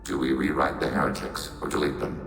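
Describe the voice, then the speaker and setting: An actor playing a calm, logical robot of a collective mind in a video game, speaking in formal, clipped statements through a synthetic filter. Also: male voice, rumbling low, a little fast, roomy sound, big-room sound, faint music behind. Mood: detached, matter-of-fact.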